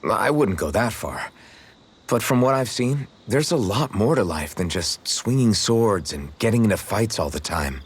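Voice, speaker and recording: Game hero: young swordsman, lowish husky voice, casual, modest and good-natured. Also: animated, moderate pace. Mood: relaxed, thoughtful. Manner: casual, unpretentious. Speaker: video game protagonist. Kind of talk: English-language video game character dialogue.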